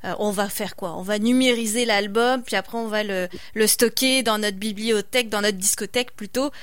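A woman's voice: medium pitch, normal speed, smooth, dry recording, studio quality. A woman hosting an interview, encouraging her guest and affirming with frequent murmurs. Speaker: interview host.

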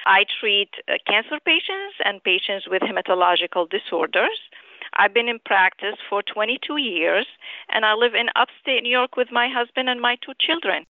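A middle-aged woman from Syria giving measured, articulate, formally phrased answers as an author and physician in an interview over the telephone. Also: a little high, lively intonation, no echo. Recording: tinny narrow phone line.

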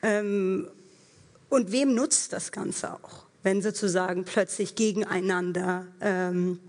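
Woman, panel guest, thoughtful, halting, many fillers, reverberant stage. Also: medium pitch, steady tone, darkish tone. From Germany. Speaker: panel guest, actress and filmmaker. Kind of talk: live panel discussion. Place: theatre stage with long reverb. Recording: reverberant hall.

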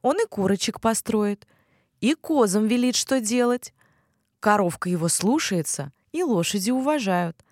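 A woman reading aloud gives voice to a kind, wise old grandmother from a children's storybook, speaking gently with a lively, rising and falling lilt. The voice sits a little higher than the reader's own. It is recorded dry and close. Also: unhurried, no echo. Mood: gentle.

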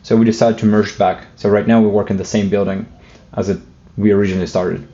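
Russian-accented male guest, low monotone, halting, muffled. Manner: hesitant, many fillers and restarts, light joking. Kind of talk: casual interview talk.

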